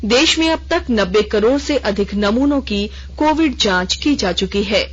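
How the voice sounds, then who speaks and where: Woman newsreader, slightly high voice, reads the radio news formally, muffled lo-fi broadcast sound. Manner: measured, even formal delivery. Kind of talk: formal news bulletin reading. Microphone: studio microphone.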